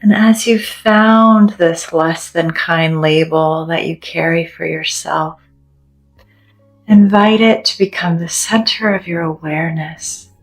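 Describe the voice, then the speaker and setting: Slow low-voiced woman guiding meditation. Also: steady tone, low-quality recording. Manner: gentle, soothing, deliberate with long pauses. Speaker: meditation guide. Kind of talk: guided meditation. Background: soft background music.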